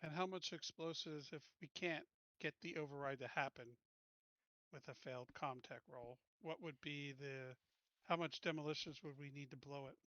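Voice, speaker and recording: A man's voice: on the high side, moderate pace, pushed and bright, no echo, dull audio. A man talking casually as a player in a tabletop roleplaying game, putting practical questions to the table.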